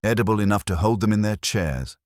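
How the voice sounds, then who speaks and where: A man's voice: on the low side, expressive, brisk, no room sound, studio quality, pitched above the performer's own voice, lightly acted. A man voicing a smug, theatrical villain in an audio mystery, pompous and precise in a pleasantly menacing way.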